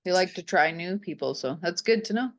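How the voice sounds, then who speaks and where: A woman's voice: lowish voice, expressive, brisk. Chatty lady doing a casual interview, super encouraging, voice goes up and down a lot. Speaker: interviewer, magazine host.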